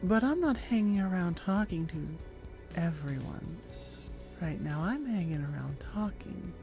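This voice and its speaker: A woman's voice: on the low side, lively intonation, a little slow, gravelly, music in the background. A woman playing a flirty, confident, encouraging female oni gym buddy in an intimate, teasing ASMR roleplay. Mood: flirty, friendly.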